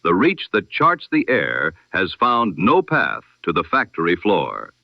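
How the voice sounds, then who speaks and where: A man reads a scripted news bulletin in 1920s radio style, formal and solemn, with measured oratorical delivery through an old-time radio filter. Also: sits low, wildly swooping pitch, vocal fry, no echo. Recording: dull, band-limited vintage radio sound.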